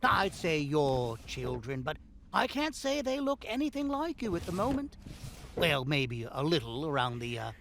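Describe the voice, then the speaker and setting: An actor playing a callous, quick-tempered looter leader with theatrical mock courtesy in a horror audio drama. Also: male voice, fairly high pitch, very animated, vocal fry, fairly bright tone, a little hiss or hum, heavily acted. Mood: mock-friendly.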